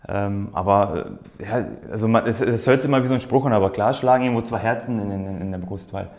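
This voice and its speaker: A man's voice: lowish voice, quick pace, a little mellow. A man who is a native Polish speaker, answering interview questions casually as a footballer, often searching for words and repeating himself. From born in Poland, grew up in Germany's Ruhr area. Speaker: professional footballer being interviewed. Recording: roomy, echoing sound.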